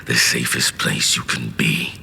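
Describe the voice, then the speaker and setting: A man voicing a vengeful, doomed warrior of fire with a deep growl in a fantasy audio drama. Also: flat delivery, unhurried, gravelly, sharp tone, a little hiss or hum, heavily acted. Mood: solemn, tender.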